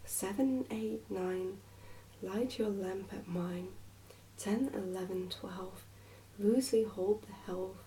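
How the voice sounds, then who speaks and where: A merry, fearless young miner boy from a storybook, voiced by a woman reader. The delivery is confident and sing-song, with a mellow tone and some creak at the edges, a little away from the mic. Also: mid-range voice, slow pace, far from the mic, a little hiss or hum, low-quality recording. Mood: merry chanting. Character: cheerful, fearless twelve-year-old miner boy who sings.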